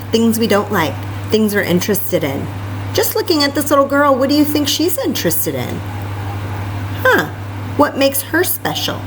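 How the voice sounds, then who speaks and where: Female children's story time host, very lively intonation, chatty read-aloud delivery full of questions, captured with clear background noise. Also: medium pitch. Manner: animated, chatty, exclaiming and questioning as if to small children.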